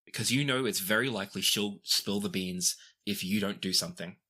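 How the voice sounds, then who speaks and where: A man's voice: fairly low pitch, brisk, bright, forceful tone, slightly rough. A man running a tabletop role-playing game, narrating scenes to the players as game master in the second person.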